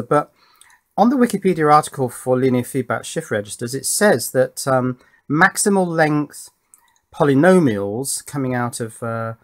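A man giving an unscripted technical explanation in a musing, methodical way, as the presenter of an electronics tutorial. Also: mid-range voice. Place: indoors at a workbench.

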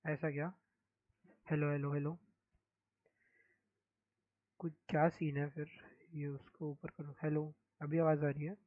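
Young man with a hoarse, quiet voice. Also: a little high, level tone, unhurried, long pauses, dry recording, phone-quality sound. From India. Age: young adult. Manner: speaks softly, mic-checking. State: hoarse, sore throat. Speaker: game streamer. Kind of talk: casual live-stream chat.

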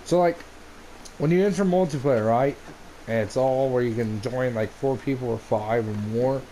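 A man's voice: medium pitch, moderate pace, warm tone. Man rambling over gameplay, noisy headset. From United States. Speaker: gamer recording a let's play. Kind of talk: casual rambling game commentary. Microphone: gaming headset. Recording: noisy headset mic, game ambience. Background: video game ambience.

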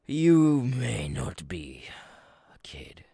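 A male voice actor playing a menacing, giggling, unhinged killer clown monster in a horror ASMR roleplay, raspy and gravelly, sliding between breathy whispers and cackles. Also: medium pitch, warm tone, no room sound, clean studio sound, heavily acted. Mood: hungry, ominous.

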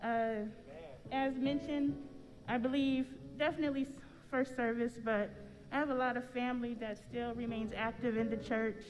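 High-voiced American woman in a reverberant church. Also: steady tone, moderate pace. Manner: casual, anecdotal. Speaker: artist and church member. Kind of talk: informal testimony to a congregation. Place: large church sanctuary. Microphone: stand microphone. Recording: long hall reverb, faint music.

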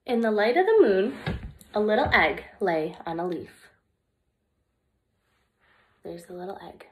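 A young woman reads a picture book to small children as their teacher. Her delivery is unhurried and deliberate, with plenty of swing in pitch. She sounds expressive and speaks directly to her young listeners, at a middling pitch for a woman. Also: highs cut off. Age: young adult.

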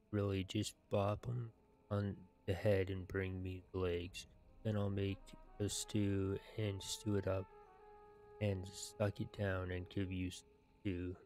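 A man in his thirties with a low voice reads game quest text aloud. His delivery is slow and deliberate, with game music playing behind him. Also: a little mellow. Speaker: gaming video narrator. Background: video game music.

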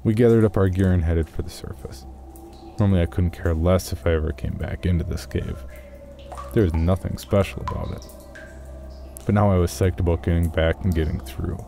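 Very deep-voiced man narrating, gravelly. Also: fairly even intonation, a little fast, warm tone, slight background noise. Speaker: horror story narrator. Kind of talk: first-person journal narration, audio storytelling.

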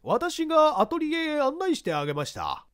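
A plain-spoken witness played by a male reader in a mystery story. The voice is strikingly high and very animated in pitch. The manner is polite, helpful and explanatory. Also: moderate pace, heavily acted. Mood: matter-of-fact. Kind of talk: dramatized mystery story reading.

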